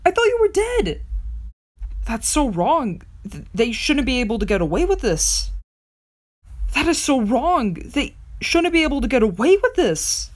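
Excitable young cartoon wolf, read by a woman, high and lively, quick to alarm. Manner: bursts of alarm and indignation. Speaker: voice actor auditioning. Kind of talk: voice audition takes.

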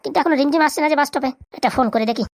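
A woman voicing an excitable, scheming young man in a comic cartoon, chattering in colloquial Bengali-accented speech. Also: high voice, racing, dry recording, clean studio sound. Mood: scheming, eager.

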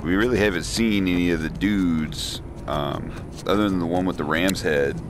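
A deep-voiced young man commentating as he plays a horror game, talking himself through the scares with jittery nervous remarks. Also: normal speed, background noise. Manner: jittery, talks himself through scares, yelps high when startled. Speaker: let's play gamer.

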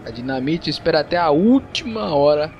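A man's voice: fairly high pitch, lively intonation, a little fast, darkish tone, muffled recording. A man with a Brazilian accent giving casual video game commentary as he plays. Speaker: gaming YouTuber. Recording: noisy, game sound behind voice.

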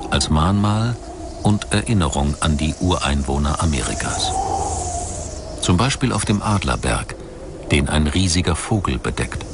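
A man narrating a scripted documentary in a measured, formal way. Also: deep voice, noisy background. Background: music behind the voice.

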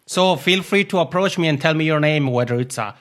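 A man's voice: a little high, a little fast, fairly bright tone. A man with an Italian accent, a podcast guest chatting casually and laughing often. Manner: friendly, laughs often while talking. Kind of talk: casual interview chat. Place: lives in Finland.

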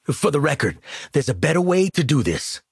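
A man playing a cocky, gruff mercenary sharpshooter in a video game, with an American accent and a blunt, streetwise, wisecracking delivery. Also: fairly high pitch, very animated, normal speed, no echo, clean studio sound, full character voice. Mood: dry, confident. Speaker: voice actor.

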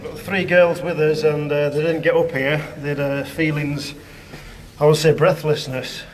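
A man's voice: a little high, little pitch movement, moderate pace. A man chatting casually to livestream viewers on a walking tour, recorded on a handheld phone. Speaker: paranormal investigator livestreaming. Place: inside a lighthouse stairwell and museum. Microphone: phone at arm's length. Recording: reverberant stairwell, faint noise, handheld camera.

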